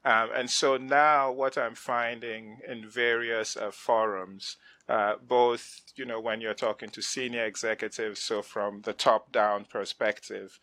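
A middle-aged man from West Africa, a venture investor speaking as a guest in a casual, animated interview, often restarting his sentences. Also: medium pitch, unhurried, no echo. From West Africa (Ghana, Nigeria). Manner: animated, frequent restarts and laughs. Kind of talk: conversational interview.

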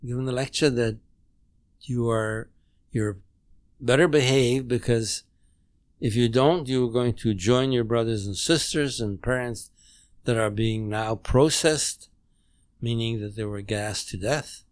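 A man in his nineties who grew up speaking German, recounting memories in a halting, measured way with many restarts, at home. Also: mid-range voice, clean tone, dry recording, studio quality. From Poland. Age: 90s. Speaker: interview guest. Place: home dining room.

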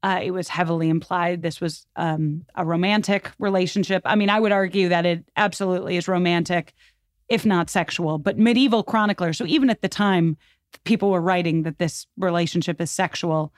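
A woman's voice: fairly low pitch, a little fast, dry recording, studio quality. A woman podcast guest telling history in an informal, conversational, explanatory way with plenty of filler words. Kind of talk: conversational storytelling about history.

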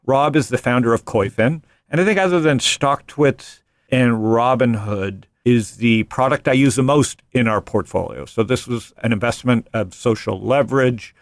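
A man's voice: medium pitch, normal speed, no echo. Male podcast host, casual and joking, rambling asides, dry close mic. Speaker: podcast host and venture investor. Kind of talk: casual finance podcast chat.

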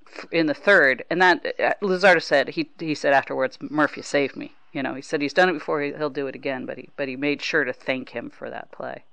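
A woman's voice: sits low, vocal fry, a bit bright, no room sound. A woman hosting a casual sports talk interview. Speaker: podcast host interviewing a broadcaster.

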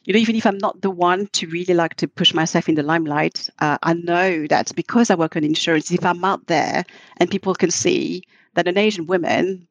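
A woman's voice: lowish voice, no room sound. Thoughtful middle-aged woman, non-native English speaker. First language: not English. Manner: thoughtful, halting with frequent restarts. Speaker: guest, marketing executive. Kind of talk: podcast interview.

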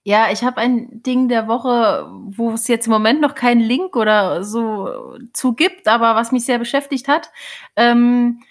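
A woman's voice: fairly high pitch, measured pace. A woman co-hosting a casual podcast chat, speaking expressively and with enthusiasm. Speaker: podcast co-host and author.

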